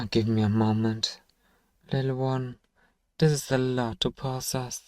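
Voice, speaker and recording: Man acting a mellow, sardonic fantasy apothecary. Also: mid-range voice, steady tone, slow, no room sound, lightly acted. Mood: weary, pensive. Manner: dry teasing, sighing, murmuring to one listener. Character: gruff, sardonic apothecary shopkeeper, grudgingly kind, adult man. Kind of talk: ASMR fantasy roleplay acting.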